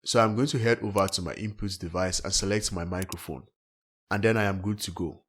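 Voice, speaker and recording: A man with a low-pitched, slightly rough voice guides listeners through a software tutorial. He speaks in a scripted, step-by-step way as a tech host. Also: steady tone, brisk.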